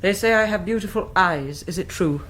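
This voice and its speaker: A woman's voice: mid-range voice, normal speed, a bit bright, slight background noise, lightly acted. Actress as a troubled, imperious Mother Superior. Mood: brooding. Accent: British. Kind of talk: radio drama acting.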